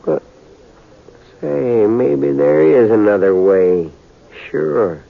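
An actor playing a persuasive, folksy American small-town doctor in a 1950s radio western, with a gravelly, coaxing delivery. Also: male voice, medium pitch, animated, drawn-out words, full character voice. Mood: scheming. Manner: coaxing, insistent. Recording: tinny 1950s radio recording.

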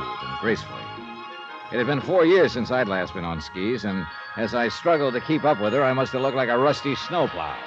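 Man acting a wry, animated hard-boiled radio detective. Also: medium pitch, lightly acted. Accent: American. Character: brash, wry American male freelance insurance investigator. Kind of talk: 1950s radio drama acting and first-person narration. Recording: dull 1950s radio recording with music.

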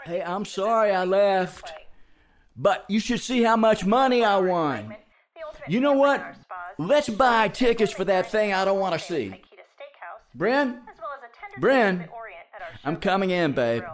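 An exasperated, sarcastic woman searching for her partner in an audio drama. Her delivery is slow and very animated in pitch, as if half talking to herself and half calling out. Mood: breezy, coaxing.

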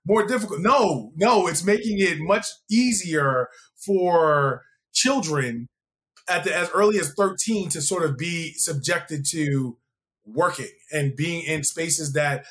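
Higher-voiced American man hosting casually. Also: a bit bright. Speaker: interview show host. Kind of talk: casual conversational interviewing.

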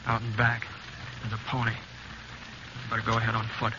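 An actor playing a steady, laconic gunslinger drifter in a 1950s radio western, with understated, folksy Western delivery. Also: male voice, fairly low pitch, a little fast, natural voice. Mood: tense, watchful. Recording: muffled, noisy 1950s radio recording.

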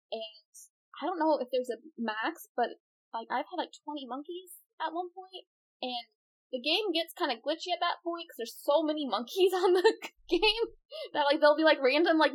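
A woman's voice: high voice, rough recording. A woman co-hosting a casual gaming podcast, chatty and rambling, laughing often as she explains. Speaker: podcast co-host. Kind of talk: casual chat.

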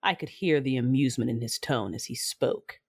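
A woman playing a vengeful, grieving Sith ruler, narrating an audio drama in the first person in a measured, solemn, menacing way. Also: a bass voice, creaky, breathy edge, full character voice. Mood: cold, bitter. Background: music.

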